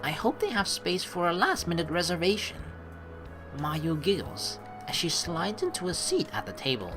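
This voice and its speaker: A woman's voice: lowish voice, big pitch swings, normal speed. A woman let's player reading game text aloud expressively, mixed with casual commentary. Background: game music.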